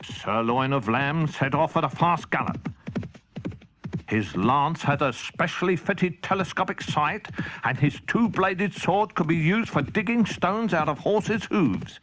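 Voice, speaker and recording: A man narrating a children's audiobook as an expressive, theatrical storyteller. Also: on the high side, measured pace, slight background noise.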